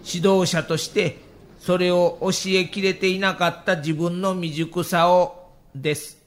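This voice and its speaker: An actor playing a weary middle-aged baseball manager with a Kansai accent, humble and self-reproachful, speaking in a measured, candid way in an audio documentary. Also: male voice, high-pitched, flat delivery. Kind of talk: acted monologue in audio documentary.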